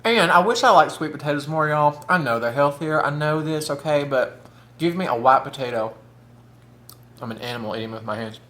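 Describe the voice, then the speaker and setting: A man's voice: fairly high pitch, fairly quick, sharp tone. A man, chatting casually and campily while eating on camera, sometimes with his mouth full. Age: 30s. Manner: chatty, camp, says "y'all" and "honey". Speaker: mukbang vlogger.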